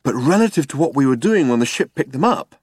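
A man voicing a vain, insecure, cocky spaceman in an audiobook, excitable and acting rather slow on the uptake. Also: mid-range voice, breathy edge, no echo, clean studio sound, pitched above the performer's own voice. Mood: impatient. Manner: cocky, excitable, drawn-out bursts. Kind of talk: audiobook character voice.

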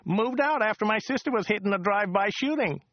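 A man doing a loud, high-voiced rambling driver. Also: animated, brisk, no room sound, dull audio. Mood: rambling chatter. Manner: rambling, repeats himself, laughs at own jokes. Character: chatty loud male American taxi driver. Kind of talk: audiobook character voice.

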